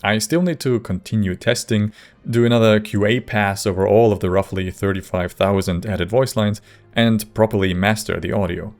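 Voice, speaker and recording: Male video narrator: lowish, creaky voice, measured, dryly wry. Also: fairly even intonation, a little mellow. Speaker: video creator and modder. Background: faint music.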